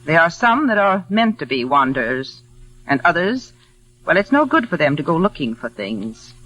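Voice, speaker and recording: An actress playing a stern, lonely older widow in a 1940s radio drama, clipped and brooding in delivery. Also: female voice, deep voice, big pitch swings, moderate pace, fairly bright tone, exaggerated voice. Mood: stern, guarded. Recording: muffled vintage radio recording.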